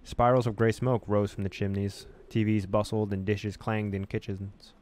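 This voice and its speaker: A man's voice: on the low side, flat delivery, moderate pace, darkish tone. A man reading a visual novel aloud as a gamer, mixing story narration with casual commentary. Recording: noticeable background noise.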